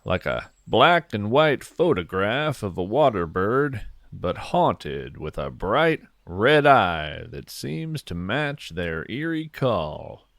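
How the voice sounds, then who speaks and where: A man plays a folksy, wonder-struck male naturalist narrating a fictional nature podcast. His voice sits fairly low and moves very slowly through lyrical, earnest phrases. The sound is close and dry, with gentle delivery throughout. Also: sing-song, no room sound, full character voice. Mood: hushed delight. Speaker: podcast host.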